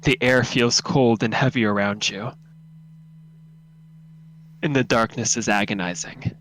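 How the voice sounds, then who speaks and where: A man's voice: mid-range voice, fairly even intonation, measured pace, fairly bright tone, slight background noise, low-quality recording. A man narrating a second-person horror story as a live radio drama read-through.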